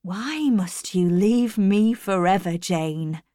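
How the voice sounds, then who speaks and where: A woman voicing an ardent, commanding gentleman in love, earnest and intense, in a storybook reading. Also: medium pitch, slow, no echo, clean studio sound, close to an everyday voice. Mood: urgent.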